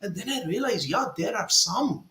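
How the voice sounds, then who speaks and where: A man's voice: high-pitched, normal speed, reverberant. A man from India, an author, telling personal stories with feeling during an online discussion. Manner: emotional, animated storyteller. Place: virtual event, video call. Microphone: video call.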